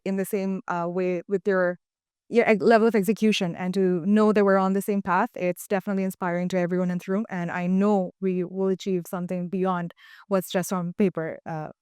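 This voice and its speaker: A young woman hosts a panel interview in a clean, dry studio sound. Her voice is clear and sits at a middle pitch. She phrases things haltingly as she moves between remarks and questions. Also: a little mellow, no echo. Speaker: host, interviewer. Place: indoor studio, seated panel on sofas.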